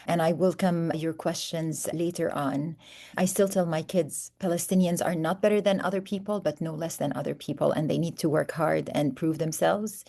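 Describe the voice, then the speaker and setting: Author in her fifties, raised in Saudi Arabia; low, warm voice, earnest and steady. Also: moderate pace, creaky. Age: 50s. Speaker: contributing author. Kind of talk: personal introduction on a panel.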